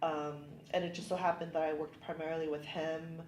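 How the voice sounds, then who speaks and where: A woman's voice: low voice, flat delivery, fairly quick, darkish tone. A woman from California in her thirties, an immigration attorney chatting upbeat in an interview with many ums and likes. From California, United States. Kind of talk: casual interview conversation. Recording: echoey room, faint background hiss.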